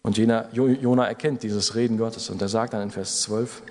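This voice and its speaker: A man's voice: lowish voice, steady tone, normal speed, a little mellow, big-room sound. A man preaching an earnest sermon to a congregation, building to urgent exhortations. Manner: earnest exhortation with rising emphasis.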